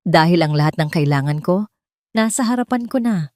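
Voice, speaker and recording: A woman's voice: fairly low pitch, animated, moderate pace, darkish tone, no room sound, clean studio sound, close to an everyday voice. A woman voicing a devoted man in love in a romantic audio drama, tender and murmuring.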